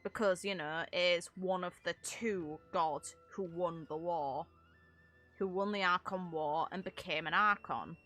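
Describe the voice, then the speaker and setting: A woman's voice: lowish voice, unhurried, sharp tone, soft background music. A woman talking casually and analytically through game lore, with opinionated, rambling asides. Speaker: content creator commentating on a game.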